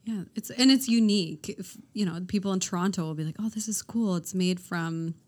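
A woman's voice: mid-range voice, brisk. A woman co-hosting a casual interview podcast, enthusiastic and full of compliments for the guest. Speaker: podcast co-host.

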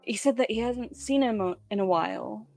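A woman's voice: medium pitch, moderate pace, creaky, warm tone. A woman playing a tabletop roleplaying game, chatting casually in character over music. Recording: dry close sound with music behind.

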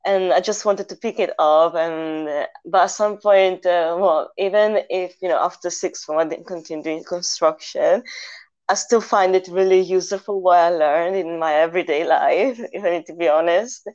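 A young woman who grew up in Italy chats about her life as a guest, hesitant and full of fillers. Her voice sits low and sounds smooth and clear. The recording is dull and lo-fi. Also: normal speed, a little mellow. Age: young adult. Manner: hesitant, many fillers and giggles. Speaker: guest photographer. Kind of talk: casual interview chat.

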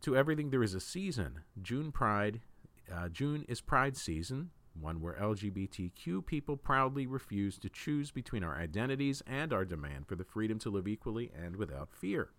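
A man reading written opinion articles aloud as a news host, in a measured, deliberate way with emphatic phrasing. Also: lowish voice, sing-song, fairly bright tone, no room sound.

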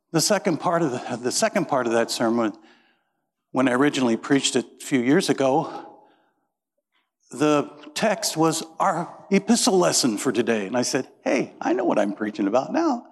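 A middle-aged man from Indiana preaching a sermon as pastor, folksy and joking as he tells stories. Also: on the high side. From Indiana, USA. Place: church. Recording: reverberant church sanctuary.

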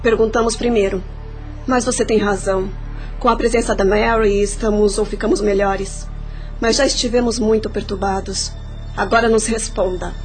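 A woman's voice: medium pitch, fairly even intonation, normal speed, darkish tone, close to an everyday voice. An actress playing an assertive, challenging dead woman with a Brazilian accent in a radio drama. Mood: challenging, firm. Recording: music under the voice.

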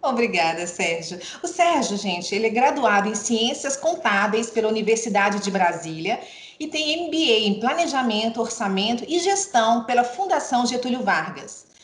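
Woman, podcast host, middle-aged, formal and welcoming, echoey room, higher pitch. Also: big pitch swings, normal speed. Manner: formal, welcoming, reads credentials. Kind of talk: podcast interview introduction.

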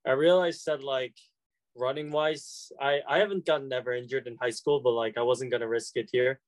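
A man's voice: medium pitch, fairly even intonation, moderate pace, no room sound. Young college guy, chatty and hesitant, dry close recording. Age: young adult. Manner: hesitant, many fillers and restarts. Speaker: college student interviewee. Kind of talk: casual conversation.

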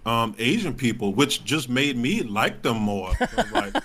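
Male podcast guest, thirties, average pitch, informal halting delivery, blunt conversational talk. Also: sing-song, a bit bright. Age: 30s. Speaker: podcast guest, stand-up comic. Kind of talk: casual conversational interview.

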